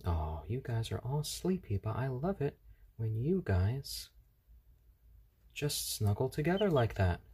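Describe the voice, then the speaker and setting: A man hosting a casual live stream at home on his phone, speaking gently to viewers and to baby chicks. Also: medium pitch, very animated, measured pace, breathy edge. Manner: gentle, soft-spoken, talks to chat and chicks. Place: home room. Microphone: handheld phone.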